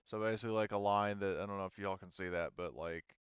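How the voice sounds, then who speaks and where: A man running a tabletop roleplaying game as game master, narrating off the cuff in a halting, casual, sweary way. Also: low voice, steady tone, clear, resonant tone, no echo, muffled recording.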